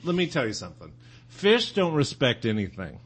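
Man, from the American South, podcast guest, casual chat, laughing, lively pitch. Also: moderate pace, very noisy. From Arkansas, US South.

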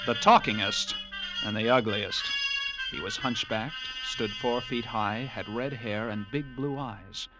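An actor playing a lighthouse keeper narrating a sea tale in a measured, vivid, dramatic radio-drama style. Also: male voice, fairly low pitch, over loud music, lightly acted, rough recording. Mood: wry. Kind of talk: classic radio drama first-person narration.

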